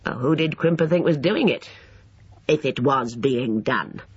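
A male narrator playing an aged, irritable country doctor with a gruff, dismissive manner, on a dull lo-fi recording. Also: medium pitch, measured pace, dry recording.

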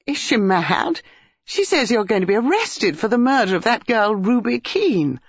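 A reader voicing an excitable, devoted young wife in a breathless, incredulous, pleading manner. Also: mid-range voice, normal speed, no echo, lo-fi sound, pitched above the performer's own voice.

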